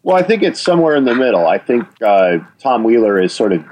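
A man's voice: mid-range voice, sing-song, fast, a little mellow, a little hiss or hum, low-quality recording. A man from Wisconsin with a Wisconsin accent, talking as an interview guest and stressing his points with repeated phrases. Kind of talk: interview about radio policy.

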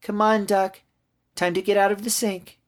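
A man's voice: high-pitched, flat delivery, normal speed, voice pushed up, natural voice. A man voicing a small, earnest little girl in a storybook, innocent and worried, with a childlike, pleading delivery. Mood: bossy, playful.

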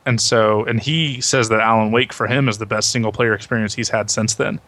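A man's voice: on the low side, fairly even intonation, fairly quick, a bit bright. A man in a casual conversation, speaking as a host on a video game podcast. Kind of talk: casual chat.

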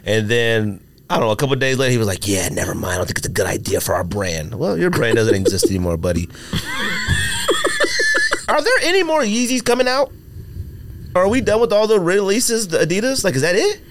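A man's voice: medium pitch, big pitch swings, a little fast. A man co-hosting a sneaker podcast, talking in a casual, joking way and laughing often. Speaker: podcast co-host. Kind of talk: casual chat.

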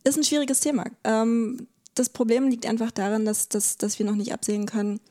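A woman's voice: a little high, flat delivery, brisk, mellow. A woman speaking as a legal academic guest, explaining in conversation, careful and hesitant as she searches for words.

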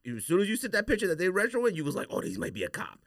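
A man's voice: sits high, big pitch swings, very fast, vocal fry, fairly bright tone, no echo, clean studio sound. A man chatting casually as a podcast host, slangy and opinionated in the way he talks. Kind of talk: casual chat.

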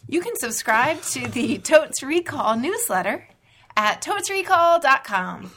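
A woman co-hosting a podcast, bubbly and quick to laugh. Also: high voice, big pitch swings, sharp tone. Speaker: podcast co-host. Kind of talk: casual chat.

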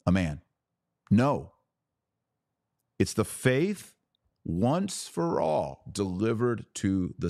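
Male religious commentator, low voice, dry close sound. Emphatic, punchy, repeats key phrases, challenges the listener directly. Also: darkish tone, dry recording. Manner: rhetorical questions to listeners, acting out imagined quotes. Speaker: podcast host and religious commentator. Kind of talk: impassioned religious commentary to a live audience.

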